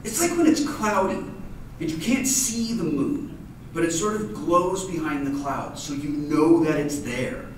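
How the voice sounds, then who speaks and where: A man's voice: a little high, lively intonation, unhurried, pitched above the performer's own voice. A man voicing an earnest, anxious young child in a children's story, hesitant but insistent. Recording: distant, very echoey room, noisy.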